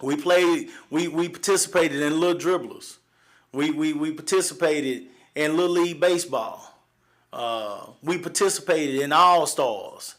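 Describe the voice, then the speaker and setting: A man in his forties with a Southern accent, hosting a live show and telling stories from his childhood in a halting, folksy way, with many fillers and restarts. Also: on the high side, fairly bright tone. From East Texas, USA. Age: 40s. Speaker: livestream host. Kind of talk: personal storytelling on a live show.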